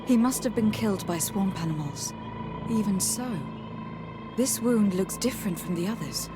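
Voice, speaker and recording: A composed, diplomatic noblewoman from a fantasy game, played by a woman, with a mid-range voice. Her delivery is measured and formal, and music plays clearly behind her. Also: medium pitch, lively intonation, natural voice. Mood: thoughtful, grave. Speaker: game character voice actor. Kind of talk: measured video game acting.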